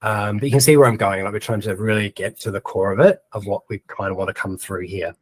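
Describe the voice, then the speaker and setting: A man's voice: lowish voice, fairly even intonation, fast, breathy edge, no room sound. A man with a New Zealand accent giving a casual screen-share tutorial, chatting and thinking aloud as he types, with plenty of ums. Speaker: presenter of a webinar.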